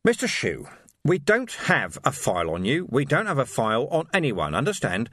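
A man's voice: medium pitch, sing-song, brisk, a bit bright, dry recording, voice pushed up. A man voicing a weary, dry-witted watch commander, patient and wry, in a storybook reading.

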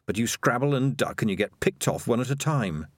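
A man's voice: mid-range voice, lively intonation, a little fast, no room sound, clean studio sound, close to an everyday voice. A man voicing a fierce, scornful, battle-hardened rat leader in an audiobook, commanding and defiant. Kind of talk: audiobook character voice.

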